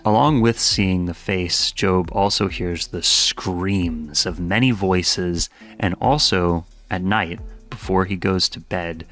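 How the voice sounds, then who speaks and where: A man's voice: low-pitched, a bit bright. A man narrating a scripted video essay as host, with a wry, conversational manner and casual asides. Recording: music under the voice. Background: background music.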